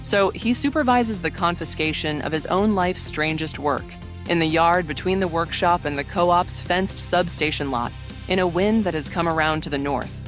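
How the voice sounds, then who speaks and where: A mid-pitched narrator delivers scripted audio drama prose. The reading is measured and literary, with clear music underneath.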